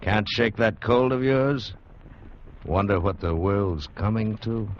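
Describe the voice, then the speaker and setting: A man's voice: fairly low pitch, takes it slowly, a little hiss or hum. A man announcing a radio show in a dramatic, ominous, theatrical 1940s style. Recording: dull old radio broadcast, loud music.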